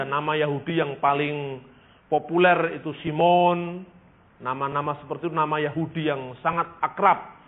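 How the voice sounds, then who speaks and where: A man from East Java preaches in a high-pitched voice that comes through thin and band-limited. He teaches scripture and history like a storyteller, repeating phrases for emphasis and drawing out the words he stresses. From East Java, Indonesia. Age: 50s. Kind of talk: sermon with Bible storytelling and history lesson. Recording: tinny, band-limited speech recording.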